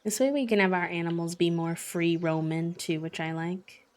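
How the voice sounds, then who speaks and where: A woman with a fairly low voice chats casually on her livestream while she plays. Her voice is a little croaky, as if she just woke up. She talks in a thoughtful, hesitant way, with plenty of ums and likes. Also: fairly even intonation, normal speed. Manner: thoughtful, hesitant, many fillers. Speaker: streamer.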